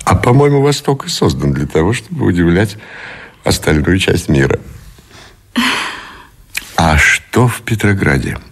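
Casting: weary middle-aged naval commander, deep, husky, courteous, radio play romance lead. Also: male voice, big pitch swings, unhurried, long pauses, full character voice. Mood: courteous, tender. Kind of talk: Russian radio drama acting.

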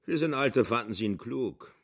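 A man's voice: mid-range voice, expressive, normal speed. A man reading narration in a literary radio drama in a plain, matter-of-fact way.

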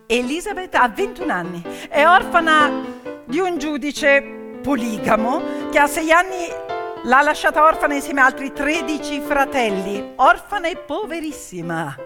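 A woman performing emphatic theatrical storytelling, with rhetorical questions and dramatic pauses. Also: sits high. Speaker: stage storyteller, actress. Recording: long hall reverb, music behind. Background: piano music.

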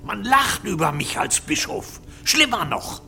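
An actor playing a stern, impatient medieval bishop in an audio drama, commanding and reproachful in manner. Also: male voice, high-pitched, sing-song, measured pace, creaky, pushed and bright, exaggerated voice. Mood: bitter. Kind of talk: German audio drama acting.

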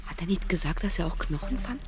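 A woman acting a formal, effusive interviewer in a radio drama, encouraging and smoothly steering the talk. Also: on the low side, expressive, quick pace, distant mic, lightly acted. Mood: businesslike.

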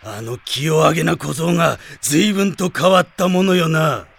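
An actor playing a hostile, haughty old general with imperious, archaic speech in a radio drama. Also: male voice, on the high side, normal speed, vocal fry, breathy edge. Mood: scornful, suspicious. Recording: music behind the voice.

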